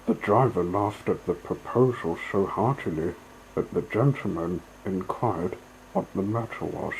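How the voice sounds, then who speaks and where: A man reads storybook narration in a fairly low voice. He takes it very slowly, as a storyteller, with faint background noise in the recording. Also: darkish tone, poor audio.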